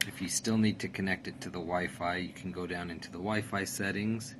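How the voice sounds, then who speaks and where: A man with a very low-pitched voice walks through a setup in a casual, plain way. He sounds like a product reviewer explaining each step, with clear background noise in the room. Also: fairly even intonation, fairly quick. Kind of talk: casual step-by-step tutorial narration. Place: indoors in a room.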